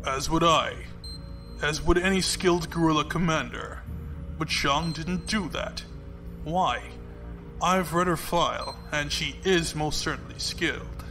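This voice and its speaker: Guy as a composed space fleet commodore, slightly high voice, measured n authoritative, music clearly underneath. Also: natural voice. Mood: probing, instructive. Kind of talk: audio drama acting.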